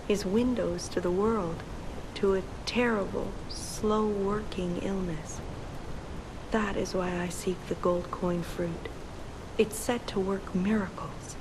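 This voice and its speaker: A woman voicing a theatrical, smooth-talking older sister in a video game, with florid, deliberate phrasing. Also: medium pitch, background noise, lightly acted. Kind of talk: scripted game drama.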